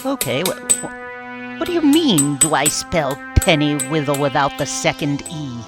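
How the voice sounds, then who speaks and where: Music plays behind a woman putting on a playful horror hostess. The delivery is very slow and theatrical, in a low-set female voice. It is campy, teasing radio hosting. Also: lively intonation. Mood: exasperated. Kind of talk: scripted comic wraparound hosting.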